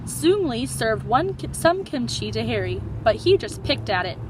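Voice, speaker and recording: A woman teacher reading a children's story aloud in an animated storytelling style. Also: on the high side, normal speed, background noise.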